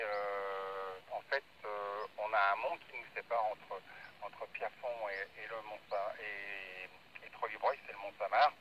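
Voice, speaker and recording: A man chatting casually and haltingly as an amateur radio operator, heard through a radio transmission. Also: fairly low pitch. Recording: dull radio link, faint noise.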